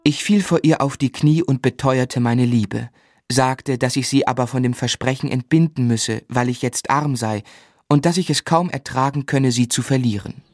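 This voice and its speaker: An actor playing an earnest, ardent young gentleman who also narrates a radio play, in a literate, romantic manner. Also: mid-range voice, normal speed, dry recording, close to an everyday voice. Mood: reflective.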